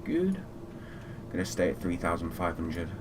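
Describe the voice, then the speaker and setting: A man with a very low voice talks casually as he streams a flight simulator. He is low-key, often muttering through procedures to himself, and clear noise runs behind his speech. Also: sing-song, normal speed. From Fiji. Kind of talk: casual live gaming commentary.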